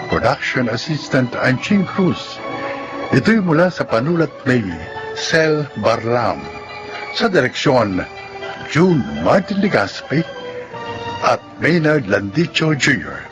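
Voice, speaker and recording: A man's voice: medium pitch, sing-song, takes it slowly, long pauses, music in the background. A man with a Filipino accent reading scripted, dramatic narration as a radio drama announcer.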